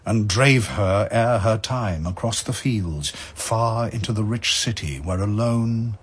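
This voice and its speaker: A man narrating verse as a dramatic storyteller, in a measured, solemn, literary manner. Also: lowish voice, slightly rough.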